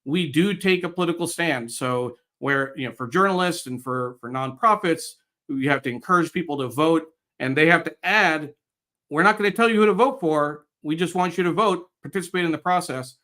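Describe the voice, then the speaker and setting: A middle-aged American man guest-hosting a live online show, speaking in a halting way with pauses mid-phrase. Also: a little high, sharp tone. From United States, New York area. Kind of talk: live show introduction, reading viewer comments.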